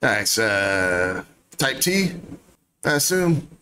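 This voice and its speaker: A man's voice: on the low side, very animated, very slow, bright, forceful tone, smooth, heavily acted. A man voicing a blunt old mercenary commander. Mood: gruff. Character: older male mercenary commander, rough and blunt.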